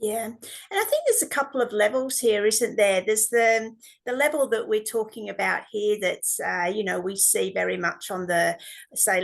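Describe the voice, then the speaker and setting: A woman's voice: mid-range voice, creaky, fairly bright tone. A woman hosting an interview, speaking in a reflective way with spiritual phrasing. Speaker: podcast host. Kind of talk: casual interview talk.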